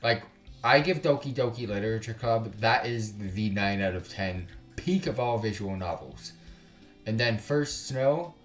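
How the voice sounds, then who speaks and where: A man's voice: fairly low pitch, lively intonation, unhurried, echoey room, soft background music. A man hosting a game stream, mixing blunt, slangy commentary with reading the game's text aloud.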